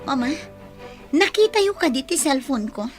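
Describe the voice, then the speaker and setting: A woman's voice: high-pitched, very animated, moderate pace, faint music behind. A woman with a Filipino accent playing an upset, insistent young daughter in a radio drama.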